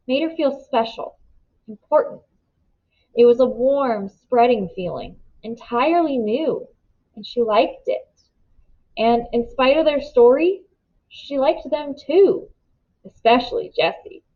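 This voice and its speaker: A woman reading a children's novel aloud in expressive storybook narration, on a slightly dull recording. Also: sits high, unhurried.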